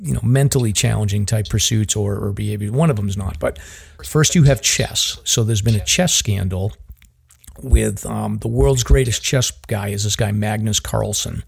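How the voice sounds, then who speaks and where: A low-pitched man with a Boston accent and a gravelly, husky voice. He hosts a podcast in easygoing chat and rambles through his points, full of "like" and "um". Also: normal speed. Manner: rambling, heavy with fillers like "like" and "um". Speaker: podcast host. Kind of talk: casual chat.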